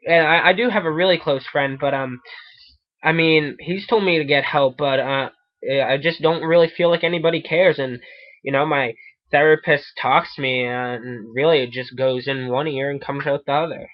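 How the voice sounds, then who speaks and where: Man playing a halting, troubled caller, higher-pitched voice. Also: fairly even intonation, natural voice. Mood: self-pitying, halting. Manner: halting, stammering, rambling. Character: troubled, self-pitying male addict calling a hotline. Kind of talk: prank phone call. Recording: muffled lo-fi call recording.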